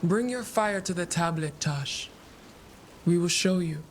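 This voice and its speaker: An actor, a man, playing a solemn, cryptic seer in a fantasy video game, speaking in measured, oracular phrases. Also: high-pitched, noisy background. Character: solemn Qunari seer, vessel for spirits, cryptic and grave.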